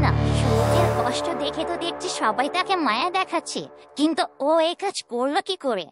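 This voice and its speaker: A woman voicing a scheming, suspicious village aunt in a cartoon, needling and accusatory. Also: sits high. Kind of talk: cartoon dubbing. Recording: music bed behind voice.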